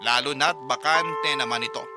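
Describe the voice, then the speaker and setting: A man hosting a radio show, reading listeners' letters aloud as sentimental stories in a measured, earnest way. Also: medium pitch. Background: music bed under the voice.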